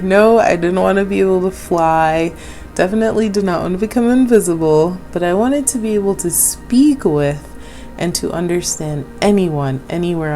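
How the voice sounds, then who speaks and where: Slow, low-voiced woman vlog host. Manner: gentle, affirming, with small laughs. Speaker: channel host. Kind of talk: casual vlog talk.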